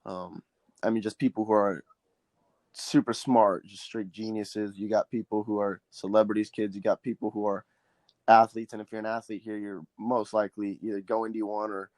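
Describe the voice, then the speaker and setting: A teenage quarterback from Southern California, a native Spanish speaker, answering interview questions earnestly with many ums and restarts. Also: male voice, lowish voice, flat delivery, moderate pace, a little mellow, no room sound. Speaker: high school quarterback guest.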